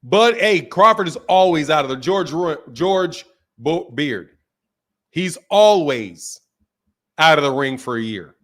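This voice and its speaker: A man hosting a casual live-stream boxing talk show, reading out chat comments and reacting in an animated, repetitive way with plenty of swearing and slang. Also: a little high, slow pace. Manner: animated, swears freely, repeats himself.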